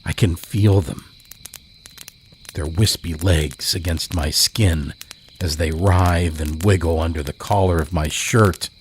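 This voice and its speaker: A man narrating a horror story in a measured, deliberate way as a podcast host. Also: sits low, slight background noise.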